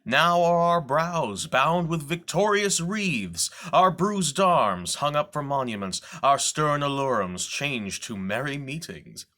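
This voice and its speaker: A man performing a bitter, scheming, deformed villain duke in a dramatic, deliberate Shakespearean soliloquy. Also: on the high side, animated, voice pushed up, full character voice. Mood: grand, mocking. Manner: dramatic, deliberate, swinging from declaiming to hushed whisper.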